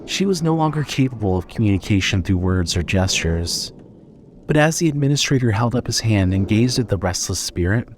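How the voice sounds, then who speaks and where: Male narrator for horror audio fiction, lowish register, measured and dramatic. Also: expressive, slight background noise.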